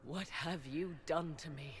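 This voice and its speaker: A woman's voice: low voice, measured pace. A woman playing a proud, fiercely protective sorceress mother in a video game, dramatic and defiant. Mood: angry, defiant.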